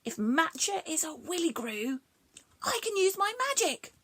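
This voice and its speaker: A woman's voice: fairly high pitch, animated, a little slow, fairly bright tone, dry recording. A woman voicing a fretful, fluffy little male magic creature in a storybook, speaking in an anxious, pleading way. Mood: chanting. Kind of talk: storybook read-aloud.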